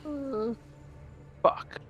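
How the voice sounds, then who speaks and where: A man's voice: very high-pitched, drawn-out words, muffled recording, loud music behind the voice. A man playing a tabletop game, chatting and bantering casually with the group.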